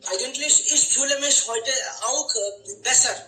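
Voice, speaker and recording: A woman's voice: high voice, unhurried, sharp tone, a little hiss or hum. Encouraging woman host, echoey room. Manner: encouraging, brief replies. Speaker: conversation club host. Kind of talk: moderating a group conversation. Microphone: video call. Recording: roomy room sound.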